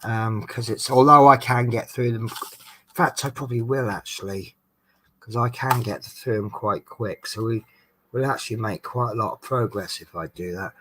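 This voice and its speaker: A man's voice: medium pitch, normal speed, sharp tone, slight background noise. A man with a Norfolk accent, chatting casually and rambling with lots of ums while doing a craft at home. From England. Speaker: vlogger.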